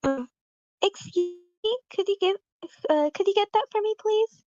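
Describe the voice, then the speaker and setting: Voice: extremely high pitch, lively intonation, moderate pace. A performer voicing an eager, polite twelve-year-old girl in an amateur screenplay read on a lo-fi mic. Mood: polite, pleading. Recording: dull, lo-fi mic.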